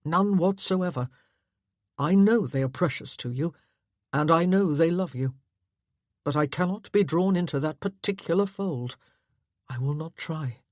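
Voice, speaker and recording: A woman's voice: sits low, measured pace, long pauses, darkish tone, no echo, studio quality. A woman voicing a curt, stubborn, sarcastic husband in a period romance audiobook, with clipped formal phrasing. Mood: firm, resigned.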